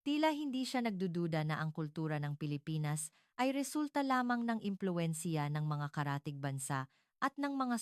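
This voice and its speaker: A woman reading scripted dramatic narration in a formal, measured storytelling manner. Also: on the low side, no room sound, clean studio sound.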